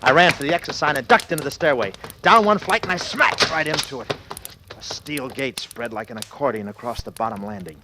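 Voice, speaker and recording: A man's voice: mid-range voice, animated, brisk, close to an everyday voice. An actor playing a desperate, panicked businessman who narrates his ordeal in clipped, urgent sentences, in 1940s radio thriller style. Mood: rising panic. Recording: noisy old-time radio recording.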